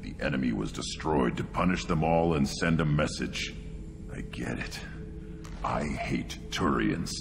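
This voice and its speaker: Game alien brute: young, brutal, intense; rock-bottom growl thick with gravel. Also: male voice, very deep voice, exaggerated voice. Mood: savage elation. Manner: halting, intense musing. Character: young hulking alien warrior, brutal, brooding, bloodthirsty. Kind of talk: video game character dialogue. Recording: long reverb, music behind.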